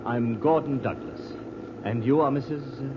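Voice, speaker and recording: An actor playing a polite, persistent foreign correspondent in an old radio drama. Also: male voice, medium pitch, moderate pace, noisy background, close to an everyday voice. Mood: polite, curious. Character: prying, genial male foreign correspondent, persistent and suave. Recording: dull old radio recording with music.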